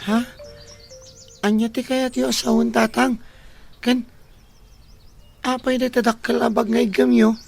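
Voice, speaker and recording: Woman acting a creaky-voiced, worried young boy. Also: on the high side, little pitch movement, a little slow, pauses often, a little mellow, faint music behind. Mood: upset, worried. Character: worried young boy, responsible older brother. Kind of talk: radio drama acting.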